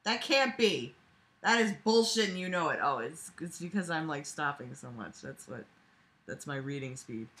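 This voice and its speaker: A woman's voice: low voice, lively intonation, normal speed, a bit bright. A young adult woman reading a book aloud with casual running commentary, sarcastic, exasperated and freely swearing, recorded in a bedroom. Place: small bedroom, lying on a bed. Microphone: device camera microphone at arm's length.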